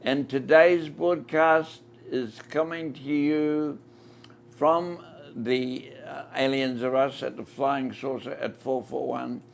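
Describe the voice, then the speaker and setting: New zealand guy hosting a stream inside a shop, slow deliberate talk, faint ambience. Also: medium pitch, level tone, creaky, a little hiss or hum. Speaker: podcast host. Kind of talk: live-stream interview. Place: inside a flying saucer-themed shop.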